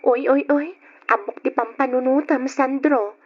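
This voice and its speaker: High-pitched coy young woman. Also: steady tone, a little slow. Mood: coy concern. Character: young nursing student, coy, playful, dutiful daughter. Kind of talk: old radio drama acting. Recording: noisy, dull old radio drama.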